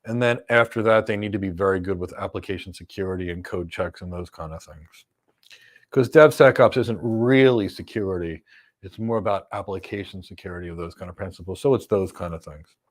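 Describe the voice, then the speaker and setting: A man's voice: low voice, a little fast. An American man hosting a live career Q&A as a cloud career coach, answering viewer questions emphatically with blunt one-word verdicts. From United States.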